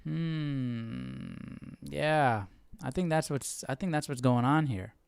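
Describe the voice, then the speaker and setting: Clear-voiced man thinking aloud, very dry sound. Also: mid-range voice, very animated, no echo. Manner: informal, halting, thinking aloud. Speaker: host of a live Bible study. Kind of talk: casual reflective commentary while following a reading.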